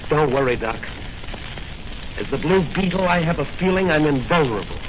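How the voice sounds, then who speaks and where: Man acting a brisk high-pitched radio serial hero. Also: lively intonation, natural voice. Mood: confident. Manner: brisk, commanding, melodramatic. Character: confident heroic masked crime fighter, a young policeman. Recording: noisy, muffled old radio serial recording.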